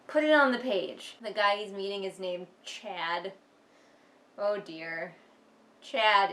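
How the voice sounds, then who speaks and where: A woman's voice: mid-range voice, fairly bright tone, roomy sound. A woman giving casual, mocking commentary as she reads along with a book, sarcastic and exasperated. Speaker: host of a book read-along.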